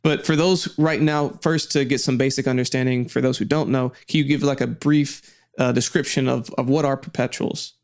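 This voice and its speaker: A man's voice: medium pitch, steady tone, moderate pace. A man hosting an interview show, upbeat and asking questions with casual fillers. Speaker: podcast host.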